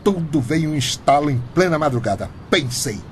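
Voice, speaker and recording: An actor with a Brazilian accent playing an excitable, proud and naive eccentric husband in a comic radio soap. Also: male voice, fairly high pitch, moderate pace, slight background noise, natural voice.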